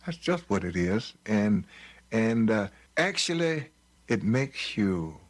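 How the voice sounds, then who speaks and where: An American man in his eighties talking reflectively and haltingly, as in an interview. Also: on the low side, big pitch swings. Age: 80s.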